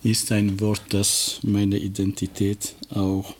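Middle-aged guy from kosovo, albanian accent, low flat voice, talks slow and careful like he's picking each word. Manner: slow, measured, pausing speech with careful phrasing. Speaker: teacher and interviewee. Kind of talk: interview answers.

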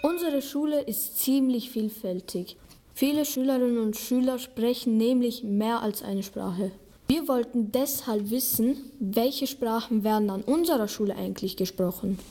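A woman's voice: fairly high pitch, moderate pace, mellow. A young teenage girl presenting a school radio show from a script as a student host.

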